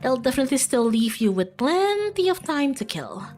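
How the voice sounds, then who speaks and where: Woman acting out a friendly outdoorsy young woman, visual novel character, lively pitch, upbeat rambling, faint music. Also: normal speed. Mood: friendly, helpful. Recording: music in the background.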